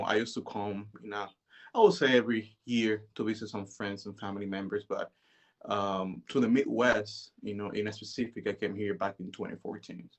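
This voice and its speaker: A man, telling his life story as an interview guest in a relaxed, hesitant way. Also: on the low side. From Dominican Republic. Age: 30s. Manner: relaxed, hesitant, many "you know"s.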